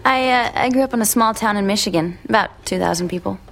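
Chatty, coy woman, slightly creaky voice. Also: mid-range voice, quick pace, fairly bright tone. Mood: evasive. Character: chatty, flirtatious, evasive single woman. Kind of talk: naturalistic audio drama acting.

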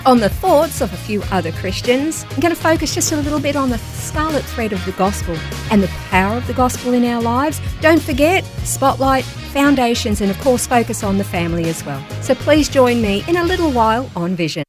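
Woman, radio promo, friendly, fairly high voice. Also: lively intonation, a little fast, hardly any pauses. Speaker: radio presenter. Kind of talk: show promo. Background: music bed.

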